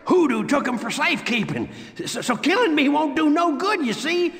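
Squealing, very high-pitched cowardly lackey. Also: male voice, very animated, normal speed, a bit bright, in a large hall, heavily acted. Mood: panicky spite. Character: sneering, cowardly jailhouse lackey, squealing under threat. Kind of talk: video game western acting.

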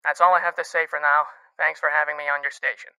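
A woman's voice: deep voice, flat delivery, bright, forceful tone, smooth, no room sound, poor audio. Woman voicing a grave male Air Force officer. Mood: concerned. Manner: measured, analytical. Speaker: expert caller.

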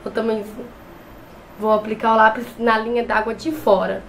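A woman's voice: a little high, flat delivery, moderate pace, fairly bright tone, slight background noise, low-quality recording. Young woman with a cold, chatty. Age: young adult. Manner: chatty, repeats words, hesitant restarts. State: has a cold. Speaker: beauty vlogger. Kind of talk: makeup tutorial talk. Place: small bedroom with shelves and a clothes rack. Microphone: camera close in front.

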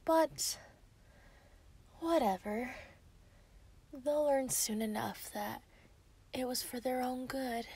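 Girl doing a possessive yandere werewolf for asmr, higher voice, really drawn out, sweet but murderous, whispery. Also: a little mellow, heavily acted. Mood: sinister, gloating. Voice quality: breathy, often dropping to a whisper. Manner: slow, teasing, giggly. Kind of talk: ASMR roleplay acting.

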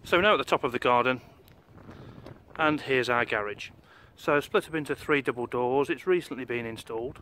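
A man in his forties, an estate agent, walking through a house and pointing out rooms in a steady, informative way. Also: medium pitch, a little fast, slight background noise. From England. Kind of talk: property walkthrough tour.

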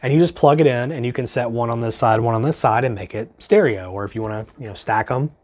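A man's voice: mid-range voice, fast, a little mellow. Stuffy young guy with a cold, chatty gear review in his bedroom studio, lots of ums. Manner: chatty, filler-heavy, earnest. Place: small home studio bedroom with guitars.

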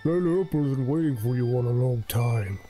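A man voicing a solemn, courteous village guard from a fantasy game in a formal, measured manner. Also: mid-range voice, lively intonation, mellow, soft background music, voice pushed down, natural voice. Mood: solemn, polite.

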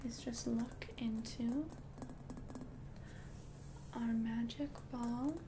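A woman plays a kindly witch minding her magic shop in an ASMR roleplay. The voice is hushed, lightly high and very unhurried, soothing and helpful, with clear noise in the background. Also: fairly even intonation. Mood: gentle, attentive. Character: gentle, helpful witch shopkeeper selling love potions. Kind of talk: soft-spoken ASMR roleplay.

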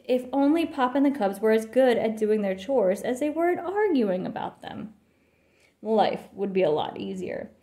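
A woman's voice: fairly high pitch, expressive, moderate pace, dark tone, roomy sound. A woman reading a children's picture book aloud with expression. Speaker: storybook reader.

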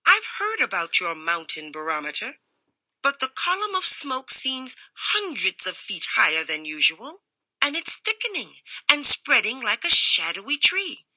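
A woman voicing a male stranger with a thin, high, sharp-edged voice and a foreign accent, who gives solemn warnings. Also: wildly swooping pitch, measured pace, dry recording, exaggerated voice, low-quality recording. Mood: urgent. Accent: foreign-sounding.

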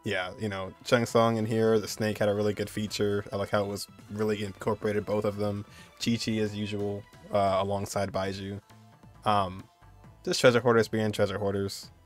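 A young man with a fairly low voice talks casually through his reaction to a video as its host. Music plays faintly behind him. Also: flat delivery, moderate pace. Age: young adult.

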